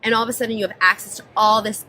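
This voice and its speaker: A woman's voice: medium pitch, steady tone, rapid-fire, bright, forceful tone, slight background noise. An American woman speaking as a guest in a casual interview, explaining things in an informal, chatty way. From born in Israel, grew up mostly in New Jersey, US.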